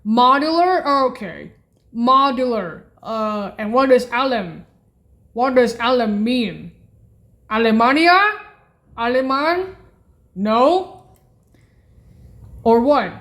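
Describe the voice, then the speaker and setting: A woman rambling through a keyboard sound demo, thinking aloud, halting and repeating herself with questions. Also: a little high, fairly bright tone. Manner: halting, repetitive, questioning, often muttering to self.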